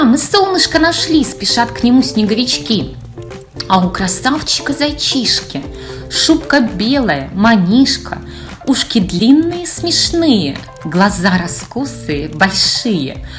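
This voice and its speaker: A woman reading her own children's fairy tale in verse with an expressive, sing-song delivery. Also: medium pitch. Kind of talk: scripted children's storytelling in verse.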